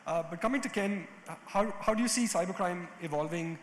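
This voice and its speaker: A man's voice: high voice, fairly quick, a little hiss or hum. A man moderating a conference panel, polite with some fillers. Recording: echoey hall with faint ambience.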